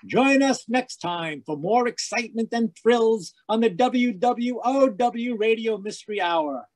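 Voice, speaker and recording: Man as a high-pitched, eager radio announcer. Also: animated, unhurried. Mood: formal sign-off.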